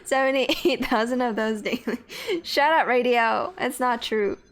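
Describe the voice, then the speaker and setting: A woman's voice: on the high side, measured pace. Chatty, swearing woman streamer. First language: Cantonese. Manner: chatty, swears freely, laughs often. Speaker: game streamer. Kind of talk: casual live-stream chat. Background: faint game music.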